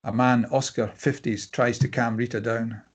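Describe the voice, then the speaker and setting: Male narrator, raspy mid-range voice, reading screenplay action lines. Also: mid-range voice, little pitch movement, normal speed. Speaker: stage-direction reader. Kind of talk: scripted narration at a table read.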